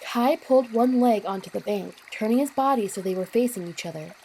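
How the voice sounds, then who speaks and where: A woman's voice: fairly high pitch, a little fast, darkish tone, low-quality recording. A woman reading scripted story narration as the narrator of an audio drama. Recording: clear noise with water sounds behind. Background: trickling water ambience.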